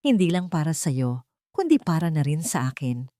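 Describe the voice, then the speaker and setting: A woman with a Filipino accent voicing a proud male CEO, now humbled, pleading haltingly in a dramatic story. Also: low voice, big pitch swings, dark tone, no room sound, studio quality. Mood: desperate, hopeful. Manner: halting, repeating phrases in pleading.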